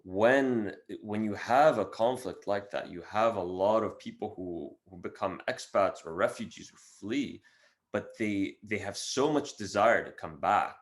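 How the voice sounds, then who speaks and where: A man from Syria hosts a podcast conversation and thinks aloud in halting, reflective phrases that he often restarts. His voice sits a little low. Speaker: podcast host. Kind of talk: podcast interview.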